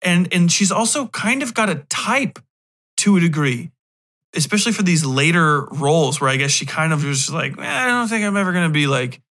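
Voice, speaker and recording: A man's voice: a little high, lively intonation, normal speed, fairly bright tone. A man, thirties or older, hosting a podcast in a casual, rambling monologue, cutting himself off with wry, sometimes profane asides. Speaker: podcast host.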